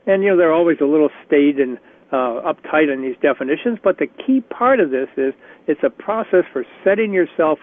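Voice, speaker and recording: Raspy-voiced man teaching over phone line. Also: on the high side. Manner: chatty and encouraging, with frequent restarts. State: slightly raspy voice. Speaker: career workshop presenter. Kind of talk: conference-call workshop talk.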